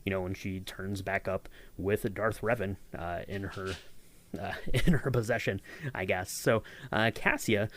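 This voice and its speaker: A man with a fairly low voice co-hosts a podcast, chatting casually about a project's making. He rambles, stacking fillers and restarts, and keeps a friendly, upbeat tone. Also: steady tone, a little slow, a bit bright, a little hiss or hum. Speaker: podcast co-host. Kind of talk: casual behind-the-scenes chat.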